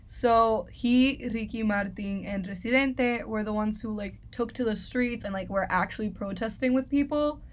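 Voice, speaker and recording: A woman's voice: a little high, moderate pace, few pauses. A young woman from the Dominican Republic co-hosting a casual discussion, chatty and full of "like". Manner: chatty, lots of "like". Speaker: podcast co-host.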